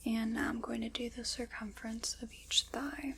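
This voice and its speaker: A woman whispering breathily in an ASMR roleplay, soft, unhurried, formal and reassuring. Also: a little high, little pitch movement, vocal fry, slight background noise.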